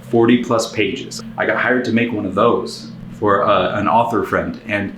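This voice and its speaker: A man's voice: fairly low pitch, steady tone, a little slow, a bit bright, reverberant, slight background noise, poor audio. A man hosting a casual, rambling update vlog, talking informally to his viewers and often checking in with them. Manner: informal, conversational, addressing viewers with tag questions. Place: spacious garage workshop.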